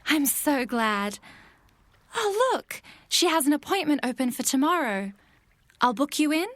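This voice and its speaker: High-voiced, caring woman as a fairytale friend. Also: very animated, unhurried, a bit bright, no echo, full character voice. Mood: encouraging. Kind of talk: audio drama dialogue.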